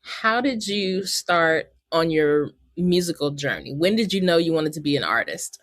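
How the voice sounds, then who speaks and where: A woman's voice: sits low, lively intonation, normal speed, a bit bright, dry recording, studio quality. A woman hosting a podcast interview, chatty and upbeat, laughing easily as she talks with her guest. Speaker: podcast host. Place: Bay Area.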